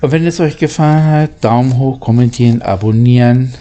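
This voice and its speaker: A man reading and talking in a halting way, stumbling over and repeating words, like an amateur storyteller. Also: mid-range voice, mellow, highs cut off. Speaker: narrator, channel host. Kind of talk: amateur storybook reading, casual channel outro.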